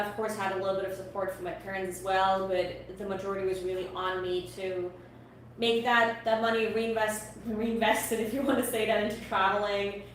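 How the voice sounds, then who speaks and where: A woman's voice: medium pitch, a bit bright. A young woman from Austria whose first language is German, talking earnestly as an interview guest and often repeating words as she starts a sentence. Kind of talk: casual interview talk. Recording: distant echoey mic with faint noise.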